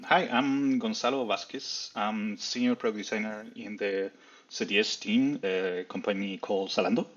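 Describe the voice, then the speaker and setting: Man from chile, lowish husky voice, muffled call audio. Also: steady tone, normal speed. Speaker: panel speaker.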